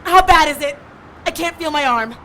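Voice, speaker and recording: A stubborn wounded woman in an audio drama, high-voiced and wry. She talks bluntly through the strain, with noise behind her. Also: normal speed, a bit bright. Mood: pained, wry. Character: tough, wisecracking wounded woman, stubborn and bossy.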